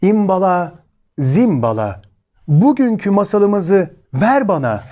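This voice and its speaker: A man's voice: high-pitched, big pitch swings, takes it slowly, mellow. A man with a Turkey Turkish accent hosting a children's story show, speaking expressively and addressing his listeners directly as friends.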